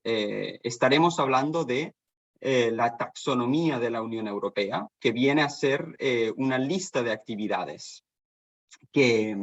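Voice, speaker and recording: Hesitant Spanish man lecturing over muffled audio. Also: medium pitch. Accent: Spanish from Spain. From Spain (Barcelona), half Danish. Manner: hesitant, many fillers and restarts. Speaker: guest lecturer, sustainability consultant. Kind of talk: online seminar presentation. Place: office in Copenhagen.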